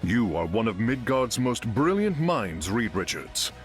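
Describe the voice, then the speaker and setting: An actor playing a lofty Norse god in a video game, with grand, archaic, formal delivery. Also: male voice, fairly low pitch, sing-song, a little slow, soft background music.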